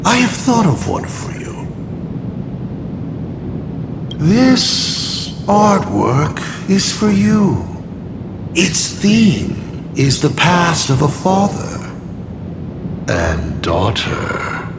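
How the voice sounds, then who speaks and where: A man voicing a scheming artist villain in a video game, with a grandiose, measured, taunting delivery. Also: a little high, wildly swooping pitch, gravelly, background noise, heavily acted. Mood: grand, taunting. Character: theatrical scheming male artist villain playing games of secrets. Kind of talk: dramatic video game acting. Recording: loud music behind. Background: loud music.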